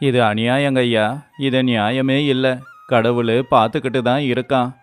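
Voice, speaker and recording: A man's voice: mid-range voice, steady tone, moderate pace, smooth, soft background music, lightly acted. A man playing a poor, humble village labourer and devoted husband in a moral story, with a pleading, deferential manner. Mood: distressed, pleading. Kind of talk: dramatized moral story voice acting.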